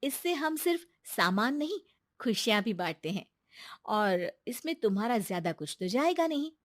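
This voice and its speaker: A woman voicing a caring, peacemaking young wife in a cartoon moral story, gently moralizing. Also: fairly high pitch, big pitch swings, normal speed, no room sound, studio quality. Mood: reassuring.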